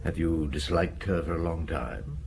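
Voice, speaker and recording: An actor playing a patient police chief inspector in an audio drama, asking measured, probing questions. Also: male voice, a bass voice, vocal fry, background noise. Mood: probing, calm. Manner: measured, probing, brief questions with hesitant hums. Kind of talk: radio drama dialogue.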